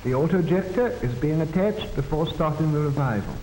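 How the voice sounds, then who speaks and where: A man reading formal, measured, solemn narration for a 1940s scientific documentary, on an old film soundtrack. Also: a little high, mellow, echoey room, long reverb tail, slight background noise, rough recording.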